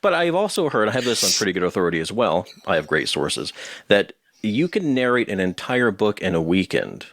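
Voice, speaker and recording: A middle-aged man hosting a casual interview, talking in an animated, jokey way. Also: on the low side, fairly quick, no room sound, clean studio sound. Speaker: interviewer, host.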